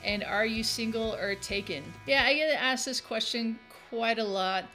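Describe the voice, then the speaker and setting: A woman's voice: on the high side, moderate pace, pushed and bright, faint music behind. A woman in her thirties hosting a casual Q&A, reading out viewer questions and answering them in a chatty, upbeat way. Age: 30s. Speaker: game tutorial host.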